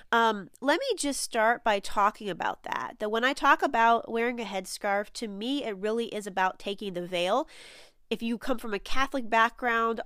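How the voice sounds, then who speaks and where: A woman hosts her own spiritual podcast, her voice nasal from a head cold. She talks in an earnest, musing way, pausing on fillers and restarting thoughts. The sound is smooth and dry, with a mid-range pitch. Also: a bit bright, no room sound. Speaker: podcast host and spiritual coach. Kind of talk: solo podcast monologue.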